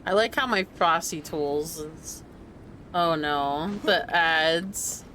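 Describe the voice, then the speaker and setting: A woman's voice: low voice, takes it slowly, sharp tone, slight background noise. A woman giving casual gameplay commentary as a streamer, rambling and muttering to herself. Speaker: game streamer.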